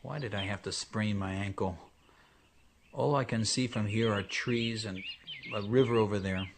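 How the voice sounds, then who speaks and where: Low-voiced actor as a grumbling expedition man. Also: fairly even intonation, normal speed, noisy background, lightly acted. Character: grumbling injured male time-travel expedition partner. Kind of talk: radio drama dialogue.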